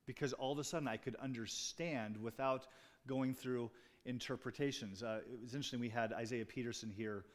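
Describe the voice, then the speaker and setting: A man's voice: mid-range voice, steady tone, a little fast. A man preaching a sermon as pastor, talking conversationally with asides and jokes to his congregation. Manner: restarts and fillers, speaking off the cuff.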